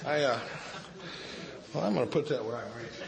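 A man's voice: mid-range voice, normal speed, creaky, heavy background noise. A man from Texas, telling his recovery story in a folksy, joking way with many restarts. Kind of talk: recovery meeting talk with jokes.

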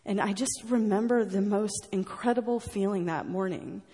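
A woman's voice: medium pitch, moderate pace, warm tone, slightly rough. Earnest woman rambling in a reverberant hall. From United States. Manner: rambling, earnest, many fillers and restarts, laughs often. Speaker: speaker sharing her story at a recovery meeting. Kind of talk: personal testimony to an audience.

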